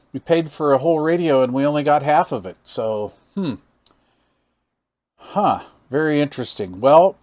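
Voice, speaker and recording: A man's voice: mid-range voice, darkish tone. A man giving a casual hands-on product demonstration, thinking aloud with some hesitation as he goes. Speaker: radio review host.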